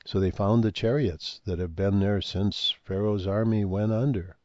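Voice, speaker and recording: An older man talking in a rambling monologue, halting with many fillers and restarts, and losing his voice. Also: lowish voice, fairly even intonation, a little mellow, dry recording, dull audio. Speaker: speaker on ancient history and comets.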